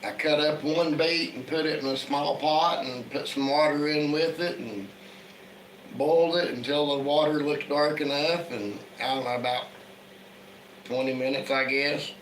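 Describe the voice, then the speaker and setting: A man speaks in a relaxed, chatty way, his voice hoarse from a cold. He greets viewers by name as he talks. The recording has a roomy echo with a faint hiss underneath. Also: fairly high pitch, moderate pace. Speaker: livestream host. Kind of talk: casual livestream chat. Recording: echoey room with faint background noise.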